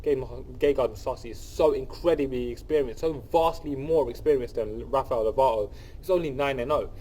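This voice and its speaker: A man's voice: medium pitch, fairly quick, mellow, a little hiss or hum, poor audio. A young man with a cold, hosting his channel and breaking down an upcoming fight in casual, enthusiastic commentary. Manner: enthusiastic, repeats phrases, many fillers and restarts. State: sniffly from a cold. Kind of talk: casual solo commentary to camera.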